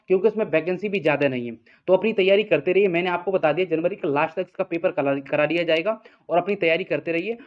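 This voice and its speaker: A man speaks like a coaching teacher briefing students. He explains informally, circles back over his points and keeps checking in. The recording is dull and lo-fi. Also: high-pitched. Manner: repetitive, explanatory, frequent check-in tags. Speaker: exam coaching teacher and channel host. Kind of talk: informal exam update addressed to students.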